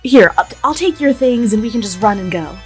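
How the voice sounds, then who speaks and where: A woman's voice: on the high side, expressive, brisk, soft background music, voice pushed down, close to an everyday voice. A woman playing a sweet, enthusiastic young female theatre kid in a tabletop roleplay, earnest and gushing. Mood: delighted.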